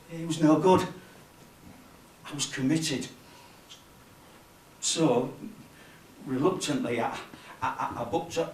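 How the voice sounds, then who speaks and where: A nervous, unassuming man played by a male stage actor stammers through a comic monologue, apologising for himself as he goes. His voice sits a little high. It is picked up at a distance in a reverberant room. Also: fairly bright tone, a little hiss or hum. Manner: stammering, halting, self-deprecating. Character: nervous, lonely, unassuming man babbling before performing. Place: cafe bar performance space. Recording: echoey room, distant camera microphone.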